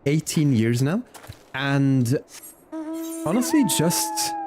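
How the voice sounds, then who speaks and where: A man chatting casually as a game streamer, informal and halting as he thinks aloud. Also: medium pitch, warm tone, slight background noise. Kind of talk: casual livestream chat during gameplay. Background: game music.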